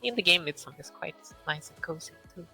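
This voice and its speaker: A low-pitched woman from Sweden talks casually as a podcast guest, stopping often to restart with filler sounds. Her voice has a bright, forceful edge, and faint music plays underneath. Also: lively intonation, quick pace. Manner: hesitant, many filler sounds. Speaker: podcast guest, game master.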